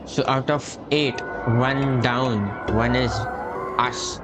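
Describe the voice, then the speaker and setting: A man's voice: mid-range voice, steady tone, slow pace. A man casually commenting on a story game he is playing, thinking aloud in an offhand way. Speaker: gameplay streamer. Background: very loud game music.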